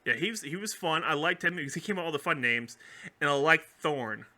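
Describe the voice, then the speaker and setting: Bright, higher-pitched man chatting casually. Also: expressive, fast. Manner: rambling, opinionated, slangy. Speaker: podcast host. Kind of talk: casual chat.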